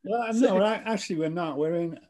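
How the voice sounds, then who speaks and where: A man's voice: sits high, brisk, darkish tone. A man chatting casually as a filmmaker guest on an online video call. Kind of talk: casual chat.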